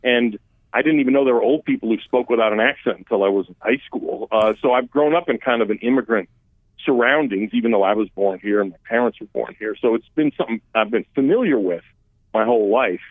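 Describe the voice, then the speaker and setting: A man speaks over a tinny phone line, dry and close with no room sound. He talks casually but emphatically, restarting sentences and pushing his voice up on key points. His pitch sits in the middle range. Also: fast, no echo. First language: Armenian. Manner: conversational, emphatic, with restarts and lively rises. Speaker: immigration policy expert guest. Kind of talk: political talk radio interview.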